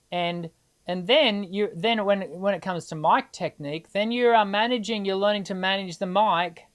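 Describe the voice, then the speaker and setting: A middle-aged woman, hosting a live singing Q&A and answering viewers in a chatty, encouraging way. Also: fairly low pitch. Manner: chatty and encouraging, many restarts and filler ums.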